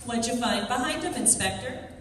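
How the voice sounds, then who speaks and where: A very echoey, distant hall recording with faint noise holds a woman acting as a defiant young woman in an old-time radio detective play. Her voice is fairly high, and she talks with quippy, indignant sass. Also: animated, normal speed, a bit bright. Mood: dry, sassy. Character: sassy, defiant young woman, a suspect's loyal girlfriend. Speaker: amateur radio theatre actor. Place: live stage performance in a large room.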